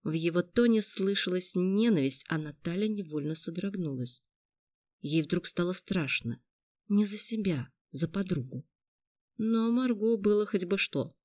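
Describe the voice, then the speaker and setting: Measured audiobook narrator, dry studio. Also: medium pitch, lively intonation, darkish tone, no room sound. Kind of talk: scripted narration.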